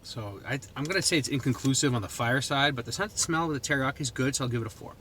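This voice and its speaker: A man with a Boston accent talks in a bright, forceful voice at an ordinary pitch. He rambles informally like a home food reviewer, thinking out loud, with some noise behind him. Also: fast. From New England, United States. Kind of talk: casual chat to camera while taste-testing. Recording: noticeable background noise.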